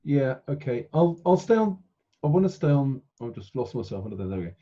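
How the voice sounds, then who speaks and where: A man's voice: mid-range voice, sing-song, brisk. A man talking casually as a player in a tabletop game, on a slightly muffled microphone.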